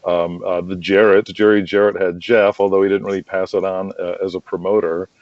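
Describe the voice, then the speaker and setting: A man's voice: low voice, little pitch movement, a little fast, dry recording, muffled recording. A middle-aged American man telling rambling anecdotes casually as an interview guest. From United States. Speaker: interview guest, wrestling promoter and manager.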